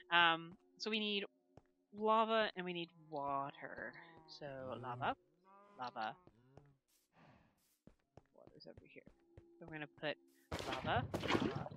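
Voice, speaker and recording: Woman gamer, bright tone, home desk mic, rambling let's-play chatter to herself. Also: low voice, unhurried, pauses often. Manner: rambling, talks to herself while playing. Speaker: gaming channel host. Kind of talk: casual let's-play commentary. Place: her room at home. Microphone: desk microphone.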